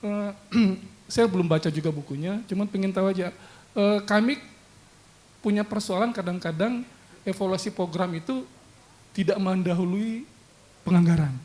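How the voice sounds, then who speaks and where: A man, a government official asking a measured question from the audience. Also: high-pitched, mellow. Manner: measured, halting.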